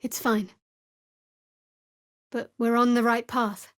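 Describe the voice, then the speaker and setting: A woman playing a guarded, sardonic young woman cleric of a dark goddess in a video game, with a dry manner. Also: fairly high pitch, little pitch movement, slow, dry recording. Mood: measured, wry. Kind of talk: video game character voice acting.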